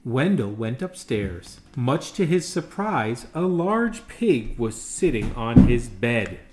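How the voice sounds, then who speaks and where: A man reads a children's story aloud at an unhurried, slow pace, friendly and informal in his delivery. His voice sits at a middling pitch. The room is echoey, with faint noise behind him. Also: sing-song. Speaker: storyteller reading a children's picture book. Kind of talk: read-aloud storytelling with casual asides.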